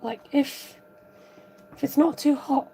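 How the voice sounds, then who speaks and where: A woman hosting a live card-making tutorial, thinking aloud as she works, chatting with viewers and reading out their comments. Her voice is a little croaky. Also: high voice, steady tone, slow, warm tone. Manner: rambling, thinks aloud while working. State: slightly croaky voice. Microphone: overhead camera above a craft table, voice from off-frame.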